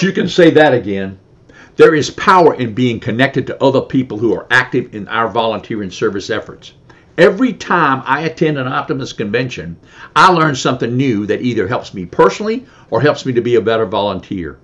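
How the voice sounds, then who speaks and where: An older man with a mid-pitched voice reads promotional lines in an earnest, plain way. The video-call sound is a little dull and lo-fi, recorded in an indoor office. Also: a bit bright. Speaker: past club president. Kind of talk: scripted promotional dialogue. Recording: slightly dull lo-fi audio.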